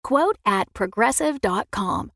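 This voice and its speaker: A woman's voice: medium pitch, lively intonation, slow, creaky, fairly bright tone, no room sound, clean studio sound. A woman announcer giving a scripted advertisement read.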